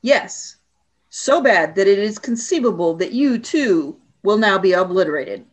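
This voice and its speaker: A woman's voice: mid-range voice, animated, a little slow. A woman playing a cold, imperious alien warrior commander in a radio play, speaking in a stern, formal, commanding way. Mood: cold, severe.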